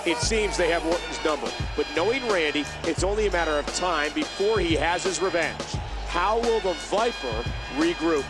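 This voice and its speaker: Guy doing wrestling announcer, high and emphatic, dramatic play-by-play, loud music behind. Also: moderate pace, natural voice. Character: dramatic male TV wrestling commentator. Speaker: wrestling commentator. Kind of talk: excited sports commentary in a video game.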